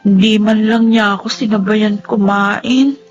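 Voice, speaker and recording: An actress playing a gentle, devoted blind young wife in a radio drama, speaking tenderly and pleadingly. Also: female voice, mid-range voice, steady tone, a little slow, lo-fi sound, faint music behind, natural voice. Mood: sad, resigned. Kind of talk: radio drama acting with first-person narration.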